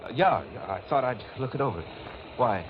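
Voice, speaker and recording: An actor in a 1940s radio mystery playing an uneasy, hard-boiled drifter caught up in crime, on a dull old recording. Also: male voice, medium pitch, expressive, brisk, slight background noise, natural voice. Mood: guarded. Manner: terse, brooding, hard-boiled. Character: uneasy hard-boiled male drifter, reluctant accomplice and narrator. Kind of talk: 1940s radio acting, first-person narration.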